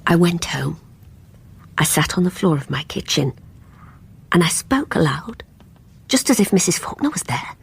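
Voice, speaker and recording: An actress playing an anxious, guilt-ridden younger British woman in a radio play, confiding in a hushed, hesitant, breathy voice. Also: lowish voice, very animated, moderate pace, pauses often, full character voice. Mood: confessional. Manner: tense, hesitant, confessional. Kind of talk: radio play acting with intimate first-person narration.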